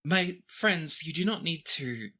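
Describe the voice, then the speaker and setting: A woman voicing a formal, composed princess in a measured, courteous manner for a tabletop game. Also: sits low, expressive, sharp tone, breathy edge, pitched above the performer's own voice, exaggerated voice. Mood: polite, firm.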